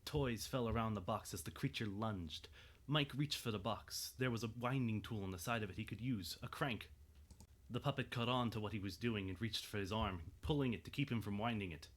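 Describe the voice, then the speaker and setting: A man narrating a scripted dramatic story in a measured, suspenseful way. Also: lowish voice.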